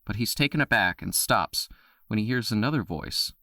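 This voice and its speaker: A man narrating an audio drama in a steady storytelling voice. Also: fairly low pitch, moderate pace, no echo, studio quality.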